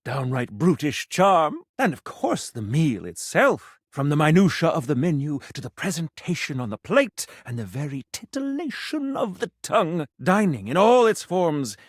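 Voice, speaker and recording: A man's voice: fairly high pitch, very animated, moderate pace, few pauses, no echo, clean studio sound. An actor voicing a pompous, theatrical male food critic for a video game, speaking in a florid, haughty way and savoring each word. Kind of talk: video game character acting.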